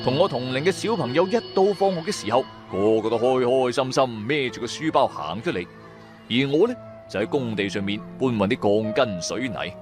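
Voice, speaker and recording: A man's voice: mid-range voice, animated, a little fast, over music. A man with a Cantonese accent narrating a supernatural radio drama in the first person, dramatic and colloquial.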